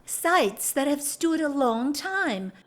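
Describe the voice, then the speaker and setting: A woman acting a proper, hesitant aristocratic lady in an audio drama, genteel, formal and gently reproving. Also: high voice, very animated, takes it slowly, heavily acted. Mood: flustered, firm.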